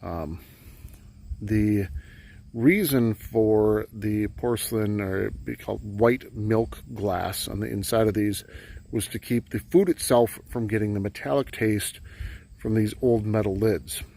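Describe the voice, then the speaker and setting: A man with a gravelly voice giving a halting, understated casual vlog narration outdoors. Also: on the low side, warm tone. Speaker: metal detecting vlogger. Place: outdoors on rural farm property. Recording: noticeable outdoor background noise.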